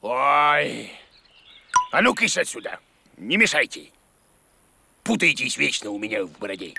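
A man's voice: medium pitch, sing-song, measured pace, gravelly, noisy background. A man voicing a grumpy, bumbling bearded creature who teaches children in a cartoon, in a blustery, muttering manner. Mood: irritated.

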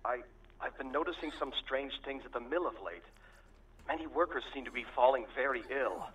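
A man's voice: medium pitch, expressive, a little fast, a bit bright, slightly rough, low-quality recording. A man voicing a worried mill worker with an Irish accent, speaking earnestly as if writing home. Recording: in-game recorded message.

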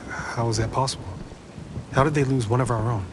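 A man acting a devout, obedient young male follower in a game cutscene, hushed and earnest. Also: mid-range voice, fairly even intonation, brisk, noisy background, natural voice. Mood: troubled, deferential.

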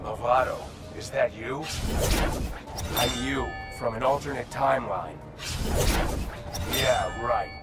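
An actor playing a cocky, sardonic masked mercenary in a video game, sneering and wisecracking. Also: male voice, mid-range voice, takes it slowly, pauses often, over loud music, natural voice. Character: cocky, sardonic male masked mercenary speedster, selfish thug. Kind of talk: video game fight dialogue.

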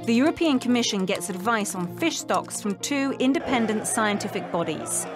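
A woman's voice: a little high, animated, moderate pace. A woman reporter reading scripted narration for a news documentary. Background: background music.

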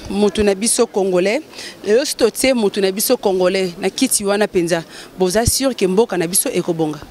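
A woman interviewer asks brisk, prompting questions in a street interview. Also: medium pitch, darkish tone. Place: outdoors at night in a lit pedestrian square. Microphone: handheld mic.

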